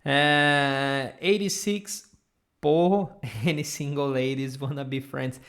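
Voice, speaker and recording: A woman's voice: a bass voice, fairly even intonation, slow. A Brazilian woman with a Brazilian accent teaching Portuguese in a live online lesson, patiently repeating sounds for students to copy. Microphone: camera mic.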